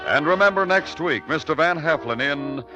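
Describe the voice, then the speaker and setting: A man announcing a program in formal, dramatic 1950s radio style, deliberate and portentous. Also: on the high side, animated, dull audio, music in the background.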